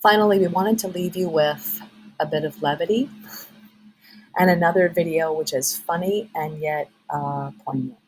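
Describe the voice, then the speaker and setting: A woman facilitating a training workshop, giving clear step-by-step instructions. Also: on the low side, moderate pace, a little mellow, a little hiss or hum, low-quality recording. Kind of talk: instructional voice-over.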